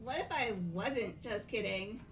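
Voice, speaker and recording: A timid teenage schoolgirl from a visual novel game, played by a woman. Her voice is very high, sweet and hesitant, with music playing faintly behind it. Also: unhurried. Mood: gently teasing. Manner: hesitant, apologetic.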